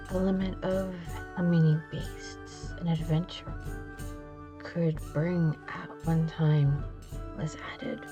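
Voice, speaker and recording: A woman performing an ASMR roleplay as a poised vampire noblewoman, speaking slowly and deliberately in hushed tones with a layered echo effect. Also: deep voice. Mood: wistful. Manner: slow, hushed, drawn-out deliberate phrasing. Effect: layered echo, reverb and reversed audio. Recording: layered echoing ASMR audio, background noise, music.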